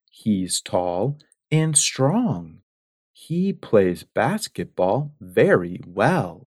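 A man reading English listening test passages in a slow, carefully spaced delivery. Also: medium pitch, no room sound, studio quality. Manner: clear, carefully spaced words. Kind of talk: slow, deliberate exam reading.

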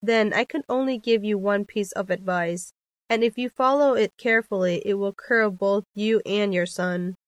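A woman's voice: mid-range voice, normal speed, dry recording. A woman carefully reading scripted dialogues and a passage for an English listening test. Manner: clear, careful textbook delivery.

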